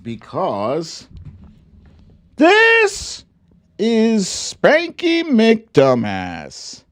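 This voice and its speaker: A man hosting a live online storytime show, switching between theatrical storybook reading and casual chat, with an exaggerated, mocking, drawn-out delivery. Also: high-pitched, huge pitch swings, a bit bright.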